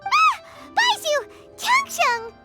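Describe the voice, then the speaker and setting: An extremely high, squeaky little fairy girl, cute and curious. She is an excitable game sidekick with very swoopy, exaggerated delivery over game music. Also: drawn-out words, heavily acted. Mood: startled. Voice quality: squeaky, childlike. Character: Paimon, tiny childlike floating fairy companion, excitable and curious. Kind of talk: anime fantasy game voice acting.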